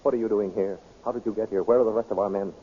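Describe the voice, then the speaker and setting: An anxious captive captain. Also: male voice, fairly low pitch, steady tone, rapid-fire, breathy edge. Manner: urgent and anxious. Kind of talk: 1930s radio drama acting. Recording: tinny, narrow old radio recording.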